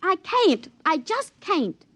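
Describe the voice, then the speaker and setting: A woman's voice: extremely high pitch, sing-song, takes it slowly, a bit bright, no room sound, full character voice. An actress playing a naive, earnest young backwoods woman with a rural American hillbilly accent, in 1950s radio drama style. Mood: distressed. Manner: folksy, plainspoken, earnest. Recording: dull vintage radio recording.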